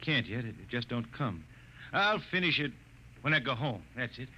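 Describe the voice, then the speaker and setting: A man's voice: medium pitch, very animated, normal speed. A blind old spaceman singer. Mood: gentle. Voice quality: gentle, drawling and folksy. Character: aging blind wandering spaceman balladeer. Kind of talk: 1950s radio drama acting. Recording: muffled old radio broadcast with hiss.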